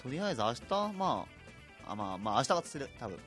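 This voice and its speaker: A young man co-hosting a podcast, giving brief, agreeable, low-key replies in casual chat. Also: big pitch swings, brisk, fairly bright tone, soft background music. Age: young adult. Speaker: podcast co-host.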